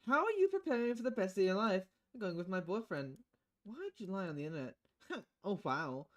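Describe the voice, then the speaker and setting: Girl streamer reading a visual novel out loud, casual n playful, pitch goes all over the place. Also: big pitch swings, a little fast. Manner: playful, switches voices between lines of dialogue. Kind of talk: reading game dialogue aloud with casual commentary.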